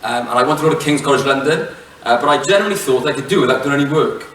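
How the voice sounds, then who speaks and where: A middle-aged Welsh man giving a relaxed public talk, chatty and self-mocking, with plenty of fillers and restarts. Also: mid-range voice, racing, a little hiss or hum. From Wales. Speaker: head teacher giving a talk. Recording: echoey hall, mic from far off.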